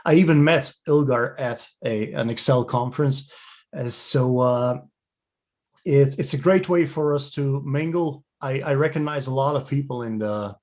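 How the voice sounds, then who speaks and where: A man from Slovenia presenting a webinar over a video call. His voice sits at a middle pitch, and he speaks in a relaxed, chatty way, with the odd joke at his own expense. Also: unhurried. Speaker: guest speaker.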